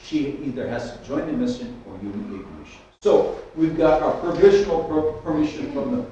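A man's voice: a little high, a little fast, distant mic, muffled recording, slight background noise. Older man preaching in an echoey hall. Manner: storytelling, animated with hand gestures, frequent restarts. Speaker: pastor. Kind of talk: sermon. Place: church hall with stage and keyboard. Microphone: stand mic at lectern, far camera. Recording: echoey hall, speaker off mic.

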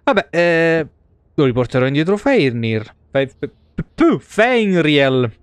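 A man's voice: a little high, very animated, takes it slowly, smooth, dry recording. An Italian man, around his thirties, doing casual, sarcastic live-stream game commentary with colloquial swearing.

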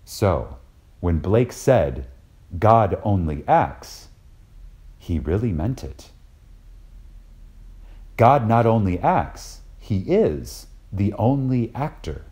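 A man reading a spiritual lecture aloud in a reverent, dramatic style, deliberate and emphatic, with pauses for effect. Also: on the low side, big pitch swings, a little mellow.

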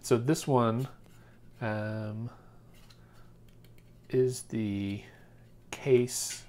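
A man's voice: medium pitch, fairly even intonation, extremely slow, warm tone. An American man in his thirties or forties giving a casual, informal unboxing commentary, thinking aloud as he goes. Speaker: product reviewer. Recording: clear background noise, handling clicks.

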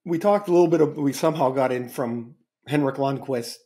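A middle-aged man co-hosting a podcast on a video call, asking relaxed, conversational questions. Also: medium pitch, brisk. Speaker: co-host. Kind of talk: casual interview chat. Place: home office.

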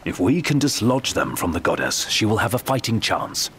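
A young male strategist in a fantasy game. He is composed and formal, speaking precisely in a somewhat low voice with very varied intonation. Faint ambient noise sits behind him. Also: big pitch swings, moderate pace, a bit bright. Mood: composed, instructive. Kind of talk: video game dialogue.

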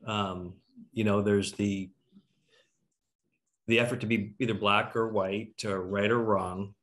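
A man's voice: fairly low pitch, little pitch movement, takes it slowly, a bit bright. A middle-aged man hosting a casual panel on a video call, musing aloud as he works toward his questions. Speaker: talkback host. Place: indoor room on a video call. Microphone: video call computer microphone.

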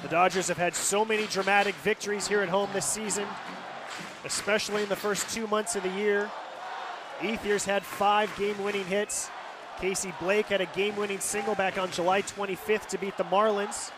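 A man's voice: sits high, level tone, normal speed. An American man calling live baseball on radio as play-by-play announcer, describing the action as it happens. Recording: loud stadium crowd noise behind. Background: ballpark crowd and outdoor stadium ambience.

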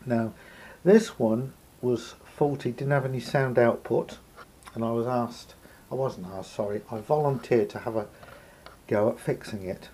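A man with a gravelly, husky voice gives an unscripted hands-on demonstration, thinking aloud hesitantly as he explains. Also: mid-range voice, measured pace, dark tone, a little hiss or hum. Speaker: hobbyist radio repairer. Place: indoor room at a table.

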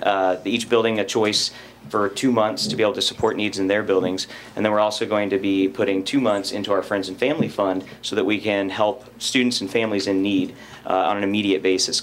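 A man's voice: sits low, slight background noise. A man in his thirties, a teachers' association representative, giving an enthusiastic, earnest report to a school board. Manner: enthusiastic, earnest, standing while speaking. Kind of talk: spoken report at a board meeting. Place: school board meeting room, indoors.

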